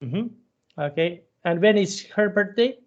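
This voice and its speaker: A man, teaching a one-on-one English lesson over a video call, patient and halting, often restarting his sentences. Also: sits high, expressive, a little mellow, dull audio. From Serbia. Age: 30s.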